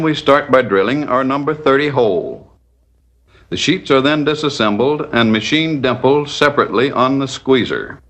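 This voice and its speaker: A man narrating a scripted 1940s instructional film in a measured, didactic, step-by-step manner. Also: mid-range voice, a bit bright.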